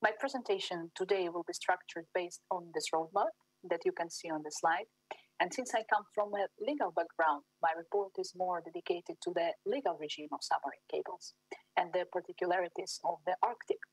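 Woman, 30s, from Siberia; academic presenter on a webinar call; husky, lowish voice. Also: fairly quick, no echo, rough recording. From Russia, Siberia. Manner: careful, formal, with frequent fillers and restarts. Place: small room at home.

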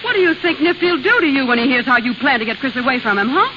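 A woman's voice: high voice, sing-song, fast talker. Through a hissy, muffled 1930s radio broadcast comes a brassy young carnival woman. She talks in tough street slang, defiant and spoiling for a fight, in old-time radio drama style. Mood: angry, threatening. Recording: scratchy, dull 1930s radio broadcast with hiss.

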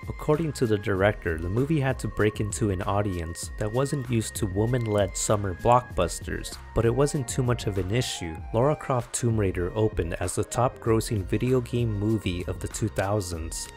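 A man's voice: lowish voice, a little mellow. A man delivering scripted narration as the narrator of a video essay.

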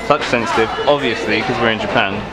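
Chatty young British man, loud crowd behind. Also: lowish voice, fast talker, loud music behind the voice, low-quality recording. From Britain. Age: young adult. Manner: chatty, wry, self-deprecating asides. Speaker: vlogger. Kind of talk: casual vlog narration. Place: busy restaurant and city street. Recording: loud crowd and street noise.

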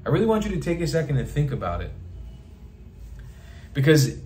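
A man's voice: a little high, big pitch swings, fairly quick, a little mellow, slight background noise. A young man talking straight to the camera in a small bedroom, giving an impassioned monologue and repeating phrases for emphasis. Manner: emphatic, repeats phrases for rhetorical effect, gestures with hands. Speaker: travel vlogger.

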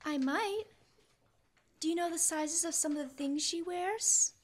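A woman's voice: very high-pitched, unhurried. A young woman playing a polite, helpful shop clerk in an old-time radio comedy. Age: teens to young adult. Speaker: student actor. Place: school library, indoors, live stage reading.

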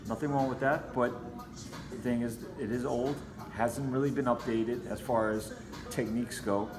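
A man's voice: medium pitch, steady tone, normal speed. A man speaking casually as a martial arts trainer in an interview, explaining conversationally with plenty of "you know". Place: martial arts gym with mats, indoors. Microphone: camera a few feet away. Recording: echoey room, faint music behind.

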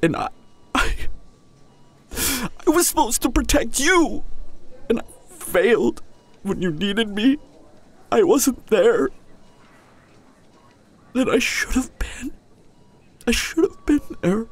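A man playing a tender, grieving young hunter boyfriend in an intimate, emotional audio drama, halting and husky, often dropping toward a whisper. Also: very high voice, lively intonation, full character voice. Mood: anguished, guilty. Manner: halting, emotional, long pauses. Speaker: audio roleplay voice actor.

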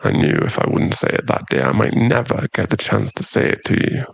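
Casting: male actor as brooding, protective mafia boss boyfriend for ASMR roleplay; intimate murmur, creaky, dry close sound. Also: lowish voice, very animated, brisk, dry recording, full character voice. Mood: vulnerable, confessing.

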